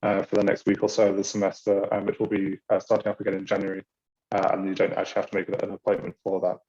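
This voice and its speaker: British guy doing an online orientation, low flat voice, lots of ums, kinda muffled zoom audio. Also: quick pace. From Britain. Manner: hesitant, many ums and uhs. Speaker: university international programs staff. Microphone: video call.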